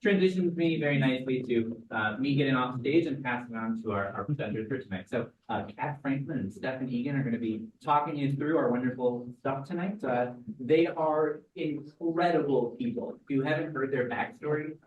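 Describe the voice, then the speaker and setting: A man's voice: mid-range voice, brisk, hardly any pauses, dull audio, slight background noise. A man hosting an event, giving an upbeat, rambling introduction of the speakers. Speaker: event organizer and host. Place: event venue. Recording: roomy, distant mic in a venue.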